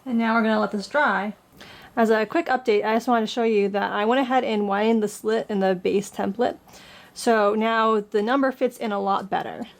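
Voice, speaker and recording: A woman with a gravelly, fry-heavy voice, still getting over a cold. She explains a paper craft one step at a time in a plain, patient way, like a blogger filming her own tutorial. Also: a little high, steady tone, moderate pace.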